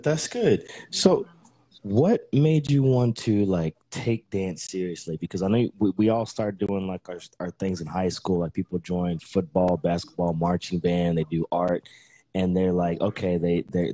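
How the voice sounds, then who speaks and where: A man hosting a podcast interview, relaxed and encouraging with easy back-and-forth chat. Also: low voice, measured pace, dry recording. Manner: relaxed, encouraging, says "that's what's up". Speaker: podcast host. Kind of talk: casual interview.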